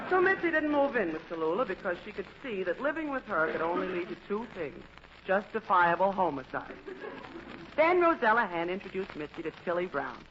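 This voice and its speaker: A woman giving scripted, wry and playful comic narration for a vintage radio show. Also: medium pitch. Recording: muffled, noisy old radio recording.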